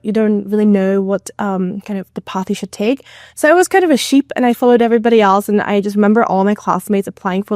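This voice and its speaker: A woman in her thirties chats easily as a podcast guest and wanders into casual asides. Her voice sits at a middle pitch. The recording is dry, with no room sound. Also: a little mellow, no room sound. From Mongolia. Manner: chatty, with many fillers and asides. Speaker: guest, AI content manager. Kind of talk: casual interview talk.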